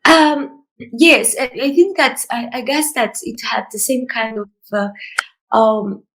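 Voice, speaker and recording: A woman's voice: on the high side, takes it slowly, fairly bright tone, a little hiss or hum. A woman from Italy, an author in a reflective conversation, who hesitates and searches for words with many fillers.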